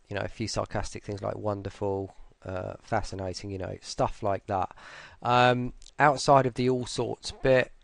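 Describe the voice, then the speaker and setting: A man with a fairly low voice and plenty of vocal fry, sounding tired. He gives an unscripted walkthrough, low-key and hesitant, wandering as he goes. The sound is close and dry. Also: no room sound. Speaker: voice pack creator presenting an update. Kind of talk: casual, unscripted software walkthrough.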